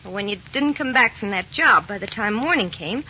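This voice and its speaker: An American actress playing a frightened gangster's wife in a 1940s radio crime drama, pleading and insistent in slangy speech. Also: female voice, medium pitch, lively intonation, fairly quick, bright, forceful tone. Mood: anxious explaining. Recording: old muffled radio recording, faint noise.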